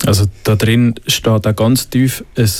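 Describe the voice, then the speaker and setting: A man's voice: fairly low pitch, little pitch movement, a little slow, a little mellow. A Swiss man with a Swiss German accent, thoughtfully discussing the Bible in a faith conversation, with many filler sounds and restarts. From Switzerland. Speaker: panel guest discussing the Bible.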